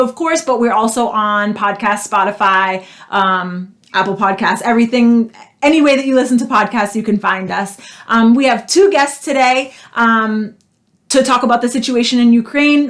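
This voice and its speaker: Woman host, kinda high voice, earnest, lots of ums. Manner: earnest, many "um" fillers. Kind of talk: casual talk show hosting.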